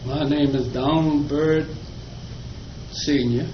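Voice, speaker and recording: Slow, folksy older man reminiscing. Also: fairly high pitch, fairly even intonation. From Virginia, US. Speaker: oral history interviewee. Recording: echoey room, dull lo-fi, faint noise.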